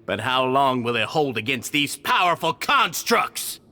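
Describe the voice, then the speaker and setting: Sharp, sneering prison villain. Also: male voice, medium pitch, big pitch swings, unhurried, slight background noise, exaggerated voice. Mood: scornful menace. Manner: menacing, contemptuous, theatrical. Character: arrogant, cruel male prison villain, scornful and power-hungry. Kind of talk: dramatic video game voice acting.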